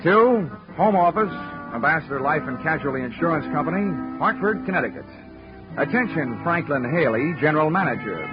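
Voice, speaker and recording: A man's voice: on the high side, lively intonation, brisk, close to an everyday voice. An actor playing a wisecracking male insurance investigator, narrating in a dry first-person 1940s radio drama style. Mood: wry. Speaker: lead actor. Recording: dull old radio recording with music.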